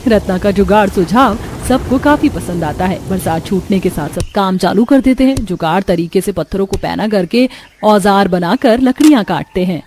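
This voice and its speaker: A woman's voice: mid-range voice, lively intonation, normal speed, a little mellow, slight background noise. A woman narrating a scripted cartoon story as its storyteller.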